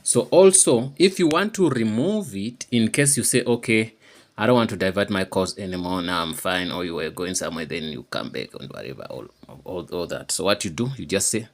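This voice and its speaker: A man's voice: low-pitched, animated. A man presents a casual how-to. He speaks off the cuff, stopping and starting and repeating phrases as he explains each step. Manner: halting and repetitive, often checking understanding. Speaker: tutorial presenter. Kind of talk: informal unscripted how-to demonstration.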